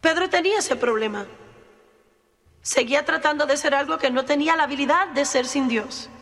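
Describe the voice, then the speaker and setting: Female preacher, high-voiced, emphatic and self-deprecating, delivering a sermon in a reverberant hall. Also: brisk, fairly bright tone.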